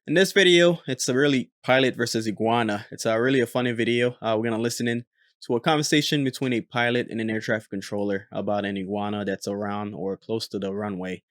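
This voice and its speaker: Host, male, ex-controller, lower and smooth, dry close sound, relaxed with lots of fillers. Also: quick pace, dry recording. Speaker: host, former military air traffic controller. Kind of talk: casual commentary on radio recordings.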